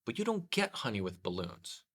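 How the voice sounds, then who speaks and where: A man's voice: medium pitch, wildly swooping pitch, normal speed, fairly bright tone, slightly rough, heavily acted. A man voicing a curious, wide-eyed little boy in a storybook, innocent and questioning. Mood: curious, puzzled.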